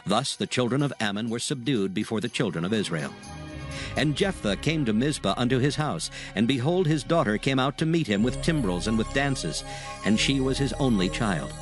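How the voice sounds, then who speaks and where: Man, mid-pitched, solemn scripture narration, measured, formal, very varied intonation. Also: animated, faint music behind.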